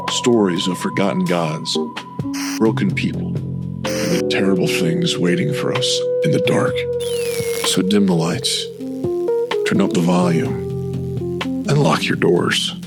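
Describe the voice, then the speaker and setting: A man playing a sinister, theatrical storytelling host with a gravelly voice, in old radio anthology style. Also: low voice, huge pitch swings, slow pace, long pauses, heavily acted. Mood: ominous. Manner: ominous, theatrical. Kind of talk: golden-age radio anthology host introduction. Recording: loud music under voice.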